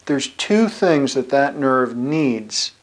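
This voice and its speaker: A man, a chiropractor, giving an explanatory talk with slides, measured and slowing on key terms. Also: mid-range voice, animated.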